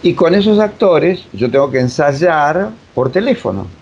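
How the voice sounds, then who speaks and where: A man's voice: very animated, a little slow, darkish tone. A man with a Buenos Aires Argentine accent, a drama director explaining his work in an interview in a digressive, emphatic way. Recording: dull, noisy lo-fi audio with rumble.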